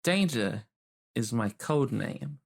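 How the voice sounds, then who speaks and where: A man's voice: medium pitch, animated, takes it slowly, vocal fry. A man chatting and joking casually as a player in a tabletop roleplay game.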